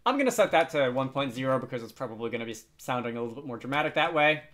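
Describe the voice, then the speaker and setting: A man hosting a casual software tutorial, thinking aloud hesitantly and adding wry, self-deprecating asides. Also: medium pitch, animated, racing. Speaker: tutorial host.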